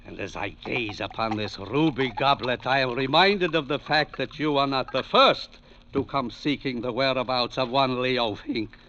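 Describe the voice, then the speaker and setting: An actor playing a grandiloquent, shaky skid row drunk with pompous, florid diction in a 1940s radio drama. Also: male voice, medium pitch, moderate pace, sharp tone, a little hiss or hum. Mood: grand, relieved. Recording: dull, band-limited vintage radio recording.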